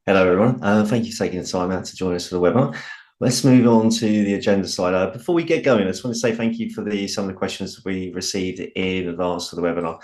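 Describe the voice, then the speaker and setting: A British man with a low, slightly croaky voice leads a software training webinar. He talks informally through a headset, with plenty of ums and restarted phrases. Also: fast talker.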